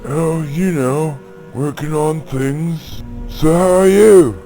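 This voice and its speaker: A man's voice: a little high, slow pace. A man playing a casual, curious, slightly hesitant shopkeeper in an amateur audio drama. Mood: laid-back, casual. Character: casual, curious shopkeeper handing over odd supplies. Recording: basic home recording, loud music behind.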